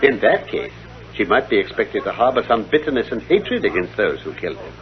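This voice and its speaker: A man playing a self-assured British gentleman detective in a vintage radio drama, speaking in precise, formal explanations. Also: lowish voice, big pitch swings, a little fast. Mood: firm deduction. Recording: dull, lo-fi vintage radio recording.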